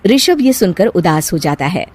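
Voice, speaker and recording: A woman's voice: on the low side, very animated, normal speed, darkish tone, no room sound. A woman narrating a scripted story as its storyteller.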